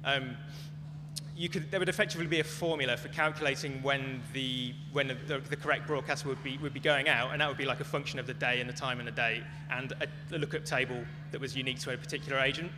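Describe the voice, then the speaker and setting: A man's voice: medium pitch, fairly even intonation, quick pace. Man explaining informally in an echoey, humming hall. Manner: tentative, hedged explaining. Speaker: conference speaker answering audience questions. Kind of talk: informal Q&A talk. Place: large echoey venue at a live talk. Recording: echoey hall, clear background noise, hum.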